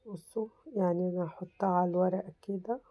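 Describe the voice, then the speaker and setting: Hoarse Egyptian woman with a cold. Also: fairly low pitch, fairly even intonation, quick pace, no room sound, dull audio. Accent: Egyptian Arabic. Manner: chatty, reassuring, repeats "very easy". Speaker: crafts channel host. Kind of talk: casual craft tutorial.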